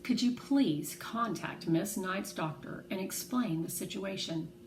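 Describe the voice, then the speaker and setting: A woman voicing a firm, protective, no-nonsense mother in a children's storybook, brisk and polite. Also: mid-range voice, darkish tone, lightly acted. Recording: roomy echo, faint background noise.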